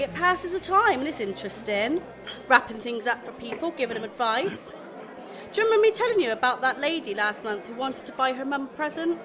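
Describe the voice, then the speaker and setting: A woman's voice: fairly high pitch, lightly acted. A woman acting in a radio comedy as an aggrieved, self-assured shop assistant, chatty, grumbling and emphatic.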